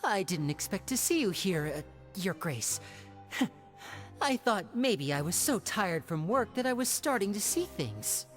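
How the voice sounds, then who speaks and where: Casting: weary prison worker for a game, mid-pitched, lively intonation, hesitant and deferential. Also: female voice, measured pace, soft background music. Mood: startled, awkward. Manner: hesitant, awkwardly polite. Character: startled, tired, deferential female prison worker. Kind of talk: video game voice acting.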